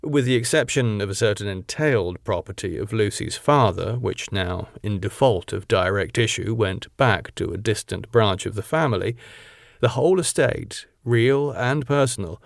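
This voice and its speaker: A man reading a classic novel as an audiobook narrator, in a measured, solemn, literary delivery. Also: lowish voice, vocal fry, no echo, studio quality.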